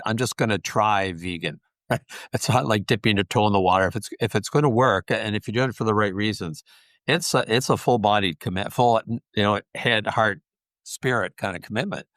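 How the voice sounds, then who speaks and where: A man hosting a podcast interview, reflective and affirming, pausing with small hesitations. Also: fairly low pitch, a bit bright, no echo, studio quality. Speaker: podcast host. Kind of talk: casual interview talk.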